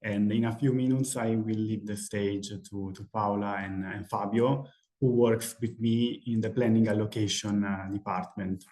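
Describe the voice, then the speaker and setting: A man's voice: lowish voice, steady tone, moderate pace, darkish tone, roomy sound. A man from Italy with an Italian accent, a recruiter giving a live webinar presentation, hesitant and full of fillers. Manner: hesitant, many fillers and restarts. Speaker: talent acquisition recruiter presenting.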